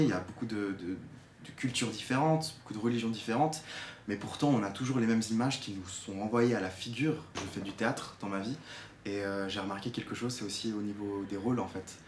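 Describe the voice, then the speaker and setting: A man's voice: lowish voice, fast talker. A twenty-year-old man from Geneva gives an unscripted personal testimony, hesitant and searching for words as he explains. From Geneva, Switzerland. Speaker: drama student giving a testimony. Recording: echoey room with faint noise.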